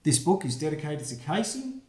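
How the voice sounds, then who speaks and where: A man, the book's author, reading his rhyming picture book aloud for children, with quiet asides about the pictures. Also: on the high side, very animated, moderate pace. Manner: friendly, reads rhyming verse with quiet asides. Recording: roomy echo.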